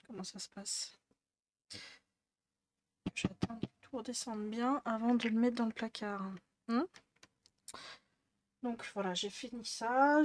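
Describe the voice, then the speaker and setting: A woman in her thirties vlogging casually from her desk at home, rambling with lots of fillers and restarts. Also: a little high. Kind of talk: casual chatty vlog. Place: bedroom desk, indoors. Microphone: headset mic.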